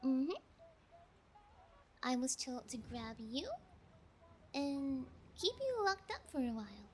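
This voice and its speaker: A high, unhurried female voice performed by a woman plays a sociopathic kidnapper in an audio roleplay. She is nonchalant and taunting, bullying her captive with playful menace. A faint noise sits behind the voice. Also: very animated, heavily acted. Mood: playful, smug. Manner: taunting, nonchalant, slow and deliberate. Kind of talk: ASMR audio roleplay acting.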